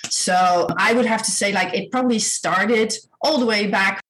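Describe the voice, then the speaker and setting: A woman's voice: mid-range voice, normal speed, a bit bright, a little hiss or hum, low-quality recording. A woman who grew up in the Netherlands, a guest on an interview show, talking in an earnest, searching way. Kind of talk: casual interview chat.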